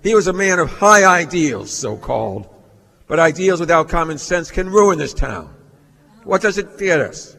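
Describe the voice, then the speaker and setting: Scornful old moneyed villain played by a male actor, raised pitch, haughty radio-drama delivery in a reverberant hall. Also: expressive, gravelly, bright, forceful tone, full character voice. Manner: haughty, scornful, deliberate speech. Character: cold, contemptuous old rich businessman villain. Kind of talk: staged old-time radio play acting. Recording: reverberant stage hall recording.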